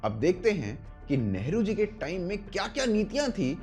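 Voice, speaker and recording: A man's voice: high voice, very animated, normal speed, a little mellow, soft background music. A man with an Indian accent presenting a scripted explainer as host, in an emphatic, opinionated storytelling manner. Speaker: host of a video essay.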